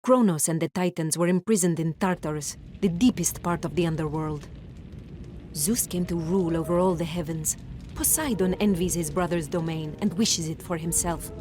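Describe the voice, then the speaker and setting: An actress playing a calm, commanding goddess who guides a hero, speaking in a solemn, measured storytelling tone. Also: female voice, on the low side, a little hiss or hum, natural voice. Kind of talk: game cutscene narration.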